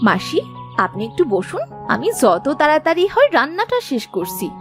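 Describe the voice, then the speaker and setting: Lively, high-voiced caring village housewife. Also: female voice, moderate pace, full character voice. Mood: busy, welcoming. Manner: respectful, attentive, solicitous. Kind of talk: cartoon fairy-tale dialogue. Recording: faint music behind.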